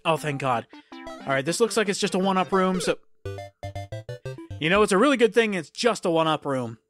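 A let's play host talking through a platform game in a loose, chatty way, voice gone a bit hoarse from a cold. The pitch sits in the middle range, and faint game music runs underneath. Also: lively intonation, moderate pace, a bit bright. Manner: chatty, exclaims at game events. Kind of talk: casual gameplay commentary.

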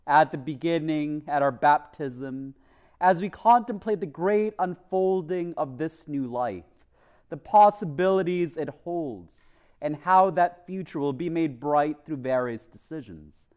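Higher-voiced male priest preaching earnestly. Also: lively intonation, darkish tone, clean tone. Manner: deliberate, earnest, with pauses for emphasis. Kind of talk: homily, measured preaching. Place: church chapel, at a lectern. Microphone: lectern microphone.

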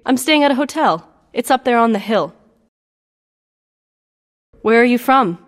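Higher-voiced woman reading lesson dialogue. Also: expressive, measured pace. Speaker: dialogue reader. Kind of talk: scripted textbook dialogue reading.

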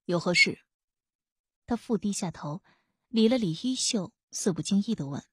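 A woman reading a historical novel as an audiobook narrator, with expressive scripted delivery. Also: mid-range voice, normal speed, husky, no echo, clean studio sound.

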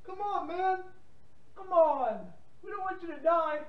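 Very high-pitched bossy cartoon pigeon. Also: male voice, animated, unhurried, dull audio. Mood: exasperated. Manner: bossy, exasperated, repetitive. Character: excitable high-voiced cartoonish talking pigeon, bossy prankster. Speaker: prank caller. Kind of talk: improvised prank call in character.

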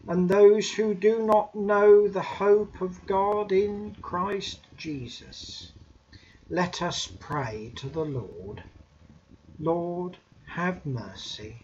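A man in his fifties leading formal prayers in a solemn, measured manner with deliberate pauses. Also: high voice. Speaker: intercessor leading prayers in a church service. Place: domestic room, indoors. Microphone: webcam or laptop microphone. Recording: muffled webcam audio with background noise.

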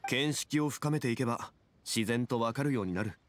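A man voicing a calm, erudite gentleman consultant in an anime game, speaking in a measured, formal and authoritative way. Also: mid-range voice, slight background noise, lightly acted. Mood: explaining, dignified. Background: game music.

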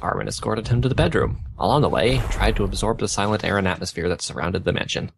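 Low, gravelly young man reading aloud. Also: lively intonation, quick pace, background noise. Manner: stumbling over garbled text, often breaking off bemused. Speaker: fan fiction reader on a gaming video. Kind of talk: reading a story aloud with amused asides.

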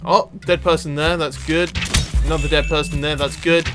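A man's voice: on the high side, little pitch movement, normal speed, fairly bright tone. A man giving casual let's-play commentary, exclamatory and talking to the game's characters as he plays. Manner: exclamatory, talks to the game characters. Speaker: gaming video host. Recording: game music behind the voice. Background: video game music.

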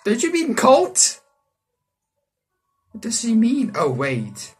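Young man, let's player, lively swooping intonation, casual rambling delivery, joking asides, light background music. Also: very high-pitched, slow pace, husky. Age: young adult. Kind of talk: reading a visual novel aloud with casual commentary. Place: small room indoors.

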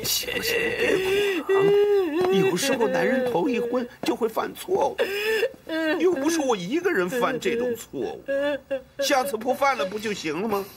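An actor playing a wheedling, self-pitying middle-aged husband in a TV melodrama. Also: male voice, very high-pitched, lively intonation, moderate pace, a loud crowd. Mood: soothing, wheedling. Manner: wheedling, whining. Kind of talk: TV melodrama acting.

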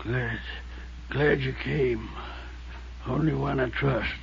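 Gravelly, weakened old prospector. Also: male voice, medium pitch, steady tone. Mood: weak, bitter. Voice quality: gravelly, weak. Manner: halting, clipped fragments. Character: weak, wounded, hard-luck old prospector, distrustful of townsfolk. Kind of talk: 1950s radio drama acting. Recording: muffled, faintly noisy 1950s radio recording.

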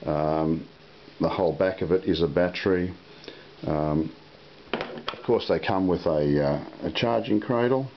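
A man giving a casual, unscripted product demonstration in a halting, unhurried way. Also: deep voice. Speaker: product reviewer. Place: indoor room at a table. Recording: muffled lo-fi audio with hiss.

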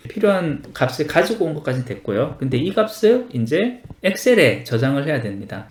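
A man narrating a step-by-step software tutorial in a polite, explanatory manner. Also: mid-range voice, roomy sound. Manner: explanatory, polite formal endings.

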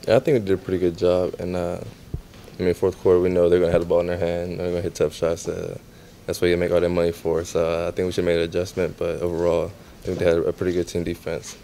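A young man, a basketball player, giving low-key, halting post-game answers in a locker room, with many fillers. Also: monotone, creaky, dark tone, a little hiss or hum. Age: young adult.

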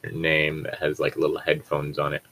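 Deep voiced guy doing a casual read-aloud, sarcastic side comments, swears a bit. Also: completely flat delivery, normal speed, fairly bright tone, a little hiss or hum. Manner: joking, sardonic asides, swearing. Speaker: co-host reader. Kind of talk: casual group read-aloud of fan fiction with joking commentary. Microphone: own mic on an online voice chat.

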